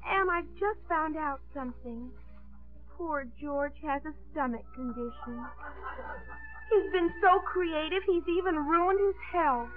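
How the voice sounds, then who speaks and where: A woman's voice: high voice, measured pace. A woman acting a dramatic, self-absorbed teenage girl in love in a 1950s radio comedy. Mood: dreamy, gushing. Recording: narrow-band 1950s radio, music behind.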